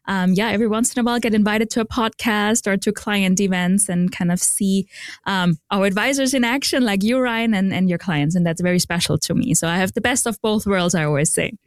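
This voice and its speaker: A woman with an Austrian accent speaks as a finance podcast guest, friendly and quick to laugh at herself. Her voice sits mid-range and sounds close and dry, with no room around it. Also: medium pitch, dry recording. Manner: friendly, self-deprecating, laughs easily. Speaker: portfolio manager guest. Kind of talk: podcast interview.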